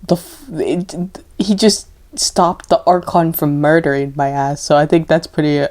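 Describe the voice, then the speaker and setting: A woman's voice: low voice, steady tone, a little slow, a little mellow. A young woman doing casual gameplay commentary, chatty, informal and reactive. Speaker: gaming content creator. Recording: faint background noise.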